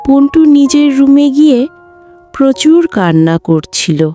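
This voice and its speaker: A woman's voice: high-pitched, sing-song, slow pace, warm tone, faint music behind. A woman reading scripted narration for a children's cartoon story.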